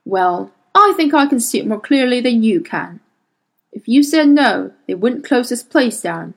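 A woman's voice: fairly high pitch, lively intonation, measured pace, creaky, exaggerated voice. A woman reading the part of a pushy, defensive male scientist who argues insistently. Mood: pressing. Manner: pressing, argumentative.

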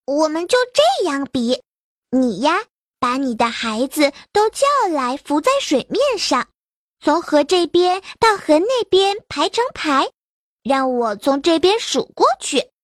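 Woman voicing a cheeky little rabbit, storybook, high sing-song voice, slow. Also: very animated, no echo, clean studio sound. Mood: wheedling. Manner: coaxing, cheeky. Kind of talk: storybook character voice.

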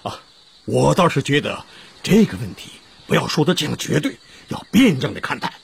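A man's voice: medium pitch, big pitch swings, moderate pace, gravelly, husky, heavily acted. An actor playing a wry, reasonable male congress delegate, conciliatory and a little hesitant, in a historical radio drama.

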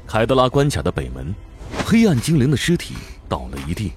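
A man's voice: lowish voice, wildly swooping pitch, brisk, darkish tone, a little hiss or hum. A man giving scripted narration as storyteller of a fantasy radio drama.

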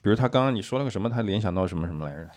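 Fast-talking man, lively low voice. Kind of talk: casual podcast discussion.

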